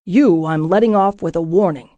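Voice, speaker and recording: A woman voicing a stern middle-aged male ship captain, speaking in low, clipped, menacing commands for an audiobook. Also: normal speed, dark tone, no echo, lo-fi sound, close to an everyday voice. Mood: cold. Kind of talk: audiobook character voice.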